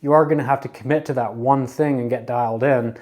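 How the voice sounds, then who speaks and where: Man in his thirties, mellow and warm, casual earnest advice talk, coaching tone. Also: medium pitch, fairly even intonation, fairly quick. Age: 30s. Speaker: advice vlogger.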